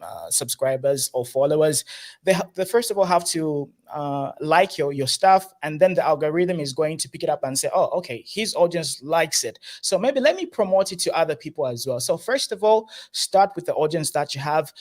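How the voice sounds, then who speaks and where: A young man from Zambia with a squeaky voice, a guest giving earnest advice in an online interview, with many fillers and restarts. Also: lively intonation, normal speed. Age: young adult. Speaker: guest, entrepreneur.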